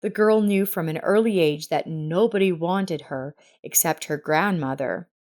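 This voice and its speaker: A woman's voice: fairly low pitch, a little slow, a little mellow. A woman reading a scripted story as its narrator.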